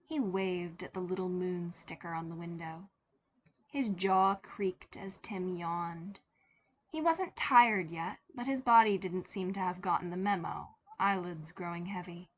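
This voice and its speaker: A woman reading a scripted story aloud as an expressive fiction narrator. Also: medium pitch, slight background noise. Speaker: podfic narrator.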